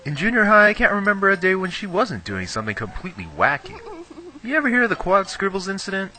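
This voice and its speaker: A brash, girl-crazy schoolboy acted by a young man gossips in anime fan dub style. His slightly high voice is very bright and animated, full of cocky slang, with some music underneath. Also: quick pace, full character voice. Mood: gossipy, knowing.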